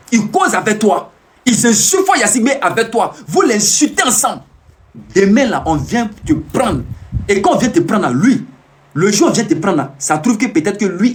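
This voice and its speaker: A man giving an emphatic, repetitive, preaching monologue on a live stream, answering viewers' comments as he talks. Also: sits high. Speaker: self-proclaimed peace ambassador streaming live.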